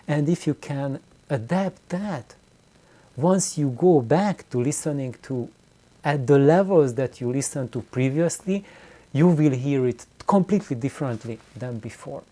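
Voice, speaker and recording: A middle-aged man giving an unscripted, reflective explanation to viewers, haltingly and with words repeated for emphasis. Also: medium pitch, warm tone. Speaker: audio hobbyist video host.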